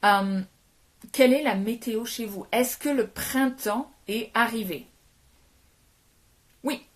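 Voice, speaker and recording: A woman's voice: fairly high pitch, slow. A woman from France giving a casual French lesson on a phone live stream. She chats, greets viewers, answers their comments and slips English words into her French. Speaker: French teacher. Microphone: phone held for a live stream.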